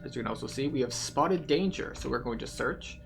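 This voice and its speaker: A man's voice: medium pitch, expressive, brisk, vocal fry, background noise. A man giving a methodical, explanatory guided commentary through a video game as the host.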